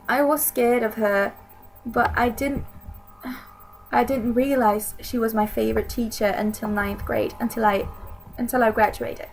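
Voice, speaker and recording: Young woman vlogging, kinda high voice, lots of vocal fry, rambles and restarts a lot. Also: a little hiss or hum, low-quality recording. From Sweden. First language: Swedish. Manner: hesitant and rambling, many restarts. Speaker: YouTuber. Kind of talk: casual chat to camera.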